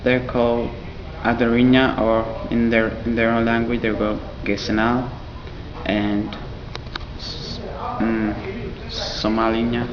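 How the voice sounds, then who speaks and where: A teenage boy from Ethiopia whose first language is Amharic, giving brief, halting answers as a student in an interview. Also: mid-range voice, completely flat delivery. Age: teens. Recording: dull lo-fi recording with audible noise.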